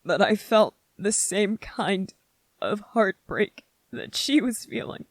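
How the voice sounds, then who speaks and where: A performer voicing a gentle, grieving young male soldier in an intimate ASMR roleplay, speaking haltingly and emotionally, close to tears. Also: mid-range voice, animated, dry recording. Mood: grieving, aching. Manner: halting, emotional, earnest, short broken phrases.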